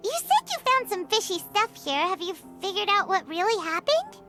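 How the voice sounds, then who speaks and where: Tiny chirpy game fairy, very high, sing-song, music bed. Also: female voice, sing-song, moderate pace. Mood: curious. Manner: squeaky, bouncy, childlike. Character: tiny chirpy female floating fairy companion. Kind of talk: video game voice acting. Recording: game audio with music underneath.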